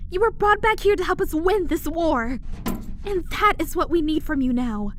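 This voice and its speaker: Actress as a young princess, very high voice, regal, formal, earnest, faint noise behind. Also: female voice, lively intonation, moderate pace. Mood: pleading, firm. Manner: formal, poised, emphatic.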